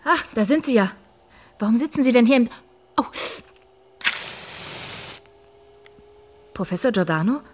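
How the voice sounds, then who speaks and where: An actress playing a bold young woman journalist in a radio thriller, often speaking in breathy, urgent tones. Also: fairly high pitch, animated, fast talker, slight background noise, natural voice. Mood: uneasy, searching. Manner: breathy whispering to herself, urgent calling out. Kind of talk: German radio thriller acting.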